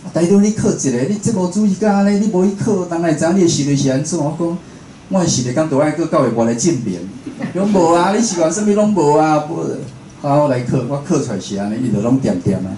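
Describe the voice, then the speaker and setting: A man's voice: fairly high pitch, animated, fast talker, dark tone. A man with a Taiwanese accent, a radio host, gives an informal talk in a conversational way, putting rhetorical questions to his listeners. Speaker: radio host and station director giving a talk. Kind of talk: informal spoken lecture. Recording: echoey room with faint background noise.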